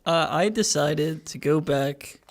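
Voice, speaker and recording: A man casually recapping his character's story as a game podcast player, hesitating and pausing to find words. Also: on the high side.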